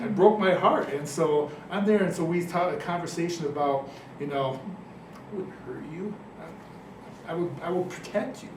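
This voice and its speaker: A man who works as an armed school officer, telling an emotional story earnestly with frequent repetitions. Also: on the high side. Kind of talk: personal sharing in a listening circle. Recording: echoey room, distant mic, clear noise.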